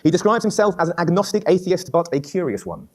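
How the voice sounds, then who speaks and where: A man's voice: sits high, very animated, quick pace, a little mellow. A man moderating a formal debate event, introducing the speakers in an announcing, ceremonial way.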